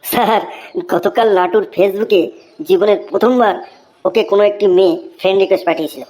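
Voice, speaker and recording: A woman's voice: mid-range voice, fairly quick, a little mellow, close to an everyday voice, low-quality recording. A woman voicing cheeky, smart-mouthed young pupils answering a teacher with mock respect in a comic cartoon. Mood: mock-woeful. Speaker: cartoon voice actor. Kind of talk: comic Bengali cartoon dialogue.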